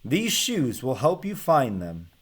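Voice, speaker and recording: A man's voice: medium pitch, wildly swooping pitch, slow, slightly rough, heavily acted. A man voicing a proud, cheerful shoemaker hero from a storybook, eagerly proclaiming. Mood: cheerful, confident.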